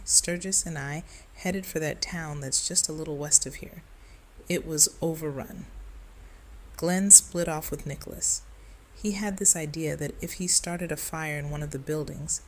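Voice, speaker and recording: A woman's voice: low voice, rough recording. A woman playing a grave, earnest survivor of a zombie apocalypse in an audio drama. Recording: clear background noise.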